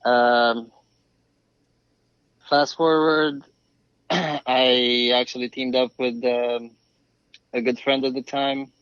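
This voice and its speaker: Male podcast guest, mid-pitched voice, relaying experiences conversationally over a slightly lo-fi call. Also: flat delivery, very slow, long pauses, fairly bright tone, clear, resonant tone, dry recording. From Romania. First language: Romanian. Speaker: podcast guest, flooring craftsman. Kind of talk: conversational interview storytelling. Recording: slightly dull, dry remote call.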